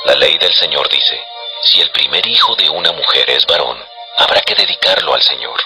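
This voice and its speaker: A man narrating scripture as a solemn, measured storyteller for a dramatized audio production. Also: rumbling low, expressive, vocal fry, pushed and bright, soft background music, rough recording.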